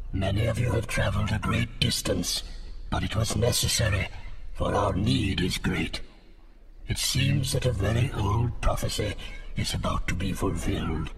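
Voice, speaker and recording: An actor playing an ancient alien Jedi master in an audio drama, giving grave formal oratory through an eerie telepathic effect. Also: male voice, lowish voice. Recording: loud noisy ambience, distant processed sound.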